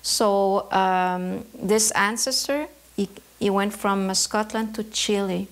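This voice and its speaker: A woman from Canada, an embroidery artist, answering interview questions in a thoughtful way, often pausing to search for words. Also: mid-range voice, little pitch movement.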